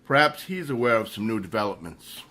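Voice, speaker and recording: A man playing a suave, wealthy amateur sleuth with measured, precise speech in a radio mystery. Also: on the low side, animated, sharp tone, full character voice. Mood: composed.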